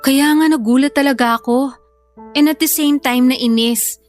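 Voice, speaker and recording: A woman playing a soft-hearted young single mother with a hesitant, apologetic manner in an audio drama. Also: high voice, fairly even intonation, measured pace, soft background music. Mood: troubled.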